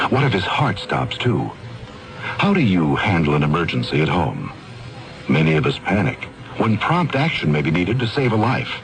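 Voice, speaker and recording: A male announcer delivers an earnest seventies radio public service message. His voice is low, with a scripted delivery. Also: poor audio.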